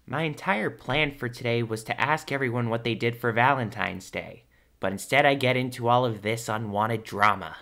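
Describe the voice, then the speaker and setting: A man's voice: medium pitch, moderate pace, gravelly, sharp tone. A man playing a brash, teasing young cartoon hedgehog hero in a casual fan audio drama. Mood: weary.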